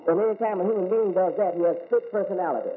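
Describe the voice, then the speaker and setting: A man's voice: high-pitched, rapid-fire. An American man giving a religious lecture as an Islamic teacher, asking rhetorical questions and repeating key words. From United States. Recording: tinny phone-like recording with faint hiss.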